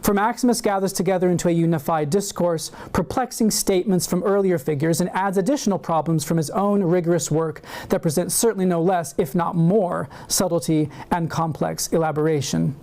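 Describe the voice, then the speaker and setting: A man giving a university lecture, reading a prepared paper in a measured, deliberate way with occasional fillers. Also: high-pitched, steady tone, dark tone. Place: university seminar room.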